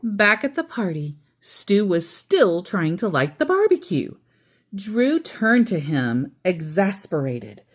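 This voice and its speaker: Woman reading a storybook with lively, swooping intonation. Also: medium pitch, slow pace, breathy edge. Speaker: storyteller reading a children's book aloud. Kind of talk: expressive storybook read-aloud with casual asides.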